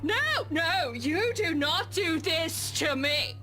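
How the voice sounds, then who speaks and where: Actress for a shrill, drunk, foul-mouthed pregnant woman, slow and sharp-edged. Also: animated, soft background music, full character voice. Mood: drunk rage. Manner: shouting, cursing, slurred. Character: drunk furious pregnant woman, loud and crude. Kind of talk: game voice acting.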